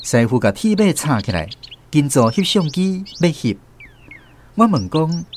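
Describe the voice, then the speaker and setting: A man's voice: on the high side, sing-song, measured pace, slight background noise. A man with a Taiwanese accent playing a grown man who narrates fond memories of his country childhood in a radio drama. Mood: reminiscing.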